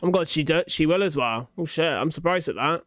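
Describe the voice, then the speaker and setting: A young man with a slightly high voice reacts to what he is watching. He talks casually and informally, thinking aloud and swearing freely as he comments. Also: animated, quick pace, a bit bright, soft background music. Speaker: reaction video host.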